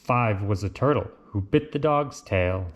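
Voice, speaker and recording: A man reads a children's storybook aloud in a deliberate, lilting way, with casual asides. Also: lowish voice, lively intonation, highs cut off, slight background noise. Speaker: reader of picture books.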